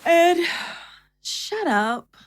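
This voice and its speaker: A woman's voice: extremely high pitch, big pitch swings, drawn-out words, dry recording, heavily acted. A woman playing a playfully bossy, affectionate young girlfriend in a naturalistic audio drama. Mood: sleepy, teasing.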